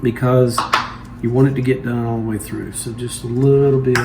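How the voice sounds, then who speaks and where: A man's voice: mid-range voice, fairly even intonation, moderate pace, background noise. A man, hosting a home cooking show and walking viewers through making pizza in a folksy, instructive way.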